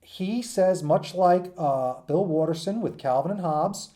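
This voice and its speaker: Mellow man giving an informal, emphatic lecture. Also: high voice, unhurried. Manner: conversational, checks in with "right?" and "okay?". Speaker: teacher presenting a comics history lesson. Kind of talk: informal unscripted video lecture.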